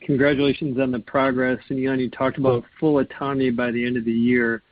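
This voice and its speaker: A man's voice: medium pitch, flat delivery, fast, no room sound. A man speaking as an analyst, putting questions to executives on an earnings call. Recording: slightly dull conference phone line.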